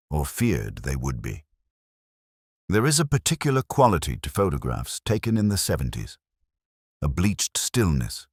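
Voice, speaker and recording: A man playing a brooding, erudite English detective who narrates a mystery in measured, introspective, literary phrases with a husky voice. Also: extremely low pitch, no echo, clean studio sound, heavily acted. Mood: quietly intent. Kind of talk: scripted first-person mystery narration.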